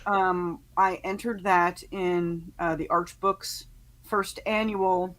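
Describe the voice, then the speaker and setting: An American woman talking casually as an author on a panel, chatty and quick to laugh. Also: lowish voice, level tone, a bit bright. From New York state, United States.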